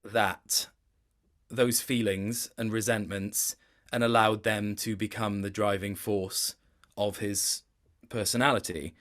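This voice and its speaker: A man's voice: on the low side, level tone, slow, a bit bright. A man speaking as a guest voice actor in an interview, explaining his work reflectively in stop-start phrases. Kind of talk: interview chat about performance work.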